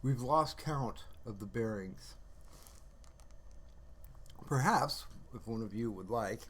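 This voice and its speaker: A man's voice: mid-range voice, animated, slow pace, a bit bright. A man casually rambling to livestream viewers in a playful, mock-earnest, musing way. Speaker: livestreamer hosting his own stream.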